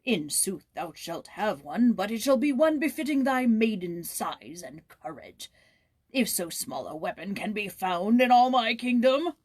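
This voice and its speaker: A woman voicing a haughty, scornful fairy-tale king with pompous, slow, archaic declamation. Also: medium pitch, sing-song, a little mellow, husky. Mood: scornful mocking.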